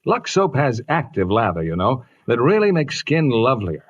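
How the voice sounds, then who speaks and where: A man's voice: medium pitch, big pitch swings, normal speed. A man working as a formal radio announcer in 1950s style, reading scripted copy with a salesman's delivery. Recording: dull vintage radio broadcast, music behind.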